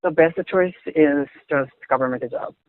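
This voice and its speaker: A young Korean man speaking English with a Korean accent as an interviewee, halting and searching for words, often restarting. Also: fairly high pitch, no room sound. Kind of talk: casual conversation in English. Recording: tinny phone-like audio.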